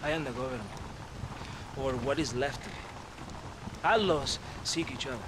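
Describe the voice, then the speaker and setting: A man's voice: medium pitch, moderate pace, noisy background, natural voice. A man playing a theatrical Mexican army captain in a video game, menacing yet jovial, with a Mexican accent. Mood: scheming. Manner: theatrical, swinging from mock threat to lament. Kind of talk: video game character acting.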